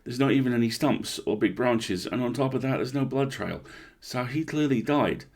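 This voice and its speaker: Guy acting out a shaken park ranger in a horror story, blunt n sweary, husky, gravelly. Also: medium pitch, normal speed, a little mellow, pitched above the performer's own voice, close to an everyday voice. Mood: baffled, blunt. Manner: blunt, sweary, incredulous, conversational. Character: rattled, blunt male park ranger confiding over drinks.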